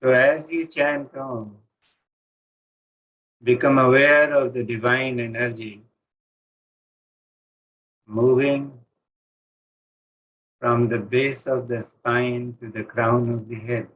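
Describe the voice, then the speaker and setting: A man with an Indian accent teaches meditation in a medium-pitched voice. He gives calm, deliberate instructions and leaves space between phrases. The recording is dull and low in fidelity, like online session audio.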